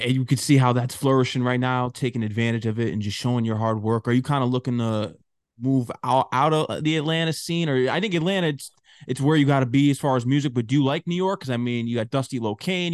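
A man's voice: medium pitch, no echo, studio quality. A man hosting a hip-hop interview show, asking questions in an agreeable, conversational way. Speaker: radio show host.

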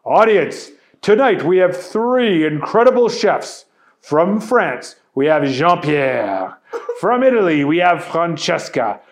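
A man voicing a loud, grinning male game show host with a booming showman delivery. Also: big pitch swings, slow pace, exaggerated voice. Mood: showy.

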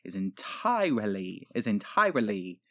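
Man doing a pompous bumbling professor, wildly swooping pitch. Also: big pitch swings, fairly bright tone. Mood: halting, struggling. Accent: put-on foreign accent. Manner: halting, stumbling over words, indignant. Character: pompous bumbling professor struggling to read, easily offended. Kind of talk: improvised comedy podcast character.

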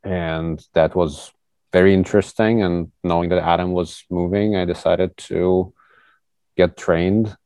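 A man's voice: deep voice, steady tone, measured pace, mellow, clean tone, no room sound. A young man from Ukraine, a research trainee speaking conversationally as an interview guest, not an English speaker. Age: young adult. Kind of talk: casual conversational interview answer.

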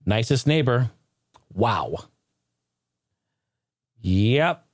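A man hosting a podcast in casual comedic chat, talking in a jokey, excitable, informal way. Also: medium pitch, drawn-out words, dry recording, clean studio sound. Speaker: podcast host.

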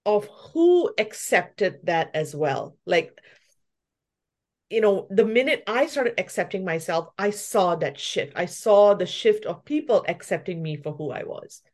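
Guest: middle-aged woman from Malaysia, pastry chef, candid and reflective, quick to laugh. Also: mid-range voice, expressive, darkish tone. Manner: reflective, laughs often while telling her story. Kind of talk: casual interview conversation.

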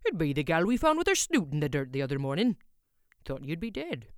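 A woman voicing a gruff, brusque older male blacksmith who barks his lines in a rustic, old-fashioned dialect, recorded dry. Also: sits low, big pitch swings, a little fast, no room sound. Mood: jovial.

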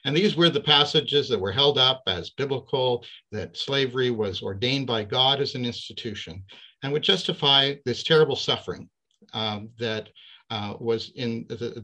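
An older man from Canada telling his faith story to a church gathering, earnest and measured, pausing often with "uh". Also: mid-range voice, sharp tone. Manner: measured, earnest, with frequent "uh" pauses.

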